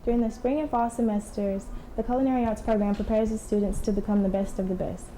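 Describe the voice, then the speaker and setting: Quick dark-toned young woman reporter. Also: mid-range voice, fairly even intonation, slight background noise, low-quality recording. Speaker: student reporter. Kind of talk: scripted news package narration.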